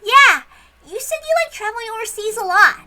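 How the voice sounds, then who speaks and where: Very high-pitched eager little girl. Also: animated, brisk, sharp tone, a little hiss or hum, full character voice. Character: little girl, eager, confident, childlike puzzle solver. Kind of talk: visual novel character read-aloud.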